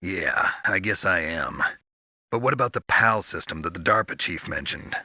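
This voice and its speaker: A gruff, battle-hardened male soldier hero for a video game. The voice is very low, raspy and intensely forceful. He speaks tersely, probing for information. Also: lively intonation, moderate pace, dry recording, studio quality, heavily acted. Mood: guarded. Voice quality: gravelly, raspy. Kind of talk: scripted video game voice acting.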